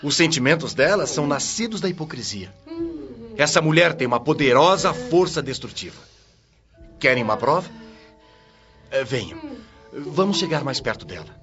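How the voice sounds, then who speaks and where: A man's voice: fairly high pitch, very animated, moderate pace, long pauses, lo-fi sound, music in the background. A man playing a stern, righteous chief watchman of the spirit world in a radio drama, grave and moralizing.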